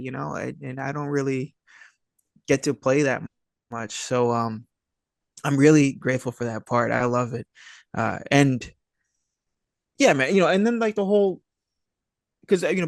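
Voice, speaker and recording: An American man in his thirties from Long Island, chatting casually as an interview guest in a halting, searching, slangy way. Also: mid-range voice, creaky, dry recording, clean studio sound. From Long Island, New York, USA. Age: 30s. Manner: halting and searching, laid-back slangy, often saying "man" and "you know". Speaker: guest, actor.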